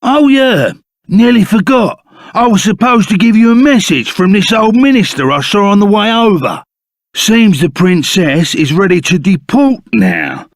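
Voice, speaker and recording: An actor playing a rough, loyal, excitable henchman in a video game, with a gravelly voice, a Cockney accent and blunt, chummy, slangy talk. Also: sits high, unhurried, dry recording, studio quality, exaggerated voice. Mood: chummy, casual. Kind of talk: video game character voice acting.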